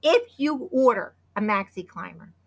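A woman in her fifties co-hosting a podcast, chatty and excitable, hoarse from losing her voice. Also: mid-range voice, normal speed. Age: 50s. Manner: chatty and excitable, with many restarts. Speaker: podcast co-host. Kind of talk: casual podcast chat with sponsor read.

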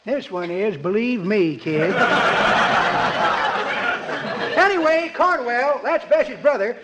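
An actor playing a cheerful, rambling old codger with folksy speech in a 1940s radio comedy. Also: male voice, high-pitched, big pitch swings, slow pace, full character voice. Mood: rambling, amused. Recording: noisy vintage radio recording.

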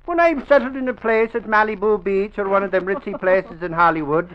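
Actor as a high-pitched, blustering Irish would-be star. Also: male voice, a little fast, close to an everyday voice. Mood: self-important. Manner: pompous, blustering, self-important. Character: boastful, gullible, easily wounded Irish newspaperman turned would-be actor. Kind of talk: old-time radio comedy-drama acting. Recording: muffled old radio recording.

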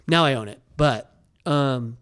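A man's voice: medium pitch, measured pace, a bit bright, clear, resonant tone. A man co-hosting a casual podcast chat, eager and enthusiastic, his voice fading from a cough. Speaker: podcast co-host.

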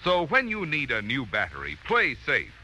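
A man's voice: medium pitch, huge pitch swings, moderate pace, pushed and bright. A man announcing on 1940s radio in an emphatic, polished, scripted style, recorded from a vintage broadcast. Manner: emphatic, polished sales delivery.

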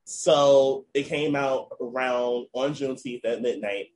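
A man's voice: medium pitch, measured pace, a little mellow, a little hiss or hum, rough recording. Man hosting a casual podcast. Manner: storytelling, recounts news to cohosts. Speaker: podcast host.

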